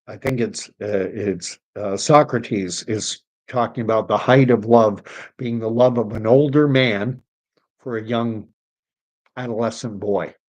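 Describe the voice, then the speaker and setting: A man's voice: fairly low pitch, fairly even intonation, unhurried. A middle-aged man from Canada hosting a live stream, rambling with many fillers as he reads chat comments, his voice wearing out. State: losing his voice.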